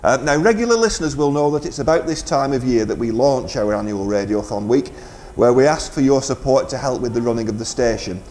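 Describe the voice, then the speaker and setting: A man's voice: mid-range voice, brisk, hardly any pauses, a little mellow, slight background noise. A man presenting live community radio, making a fundraising announcement in an informal, hesitant, bantering way.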